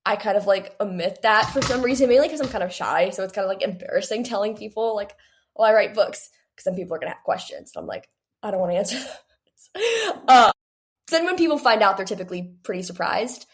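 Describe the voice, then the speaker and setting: Young woman with a creaky voice. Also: medium pitch, animated, fast. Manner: earnest, chatty, frequent "like". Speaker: young author being interviewed. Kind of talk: casual conversational interview.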